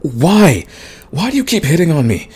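A man playing a big, grumpy but soft himbo demon in a romantic comedy audio roleplay, husky and stammering in protest. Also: on the high side, huge pitch swings, moderate pace, heavily acted. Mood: flustered, indignant. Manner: grumbling, sighing, stammering protests. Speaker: voice actor.

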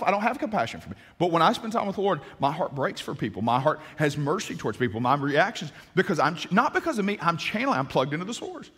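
A man in his forties, a pastor preaching a sermon on a large church stage, animated and gesturing, with frequent restarts. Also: sits high, husky. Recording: long hall reverb.

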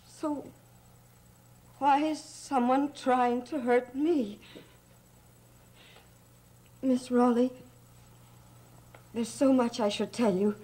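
A woman's voice: sits high, darkish tone. An actress playing a frightened, haunted young woman in a gothic soap opera, pleading with breathless dread. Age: young adult.

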